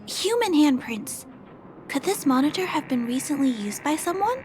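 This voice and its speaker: A woman voicing a gentle, curious young girl in a video game, polite and musing thoughtfully aloud. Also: high-pitched, very animated, normal speed. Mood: curious, pondering. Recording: game music behind the voice.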